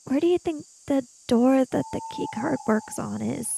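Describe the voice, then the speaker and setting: A woman with a high-pitched voice plays a tabletop roleplaying game. Her delivery swings up and down in a lively way, casual and playful, as she improvises with quick jokes. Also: creaky, crowd noise, poor audio.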